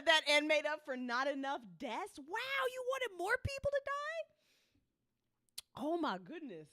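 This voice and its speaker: A woman hosting a casual live stream, exclamatory and quick to laugh. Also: high voice. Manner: expressive, exclamatory, laughs easily.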